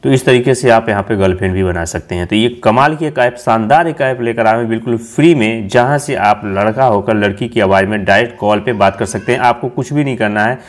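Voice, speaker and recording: A man narrating a casual app tutorial as host, explaining step by step and repeating himself. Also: lowish voice.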